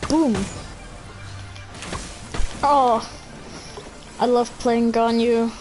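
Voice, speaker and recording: A woman streams a video game with casual, playful commentary. Her voice is high and she takes her time, chatting in slang. Game music plays clearly behind her. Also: fairly even intonation, drawn-out words, darkish tone.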